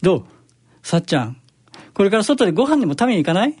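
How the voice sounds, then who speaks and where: A man playing a well-meaning but exasperated husband with a husky voice in an amateur radio drama. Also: high-pitched, big pitch swings, fast, a little mellow, no room sound, clean studio sound, heavily acted. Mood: upbeat, caring.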